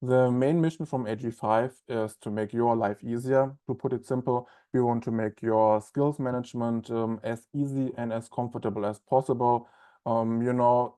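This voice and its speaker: German guy running a work webinar, mellow voice, lots of ums and uhs. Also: medium pitch, smooth. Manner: loose and conversational, many ums and uhs. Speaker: onboarding specialist presenting a webinar. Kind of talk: webinar presentation.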